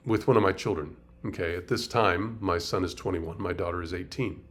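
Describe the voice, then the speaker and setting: A middle-aged man, a pastor, teaching a conversational Bible study, breaking down word meanings and asking rhetorical questions. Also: lowish voice.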